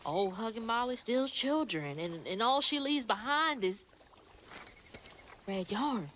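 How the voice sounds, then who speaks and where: A woman's voice: on the high side, animated, slow pace, fairly bright tone, slight background noise. An actress playing an earnest, determined young woman in a video game. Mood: worried, questioning. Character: earnest, determined young Southern woman searching for her mother. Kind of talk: video game cutscene dialogue.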